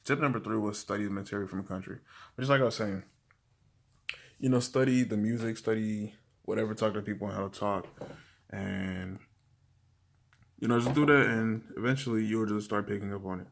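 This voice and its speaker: A young man giving casual language-learning tips to camera, talking in a relaxed, rambling way with many fillers and restarts. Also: fairly low pitch, fairly quick, vocal fry, pauses often. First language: English.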